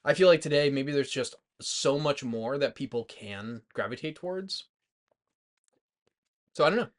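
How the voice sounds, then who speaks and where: A man's voice: medium pitch, lively intonation, normal speed. A man in his twenties co-hosting a movie podcast, talking informally and a little tentatively over drinks. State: drinking alcohol. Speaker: podcast co-host. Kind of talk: casual movie discussion.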